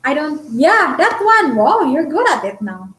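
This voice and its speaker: A woman's voice: high-pitched, sing-song, unhurried, fairly bright tone, echoey room. A talkative, candid woman from the Philippines with a Filipino accent, whose first language is Bisaya, chatting casually with viewers on a live stream. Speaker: vlogger answering live chat.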